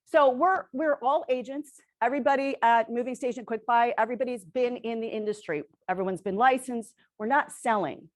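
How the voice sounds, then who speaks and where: A woman presenting to a room of real estate agents, energetic and quizzing the audience, who says she is losing her voice. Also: fairly high pitch, fairly quick, hardly any pauses. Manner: energetic, asks the audience questions, tags lines with "right?". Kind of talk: sales presentation to a live audience.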